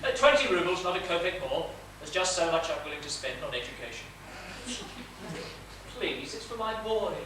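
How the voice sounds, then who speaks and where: A man's voice: a little high, animated, normal speed, bright, forceful tone. A man playing a fussy but tender middle-aged father in a stage comedy, with courteous, florid, projected delivery. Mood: exasperated, urging. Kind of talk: live comedic stage play acting. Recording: echoey theatre stage, distant mic, noisy.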